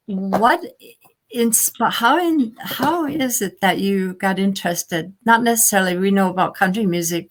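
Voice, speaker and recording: A woman hosting an interview, asking long rambling questions with restarts and laughing often. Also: medium pitch. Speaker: interview host. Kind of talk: casual interview.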